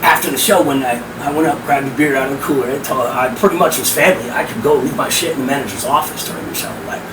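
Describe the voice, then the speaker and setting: A middle-aged man with a hoarse, powerful voice, telling stories emphatically as a podcast guest. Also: mid-range voice, fast. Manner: animated, emphatic, profane. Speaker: guest, rap host and promoter. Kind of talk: casual podcast storytelling. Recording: roomy, distant mic with air-conditioning hum.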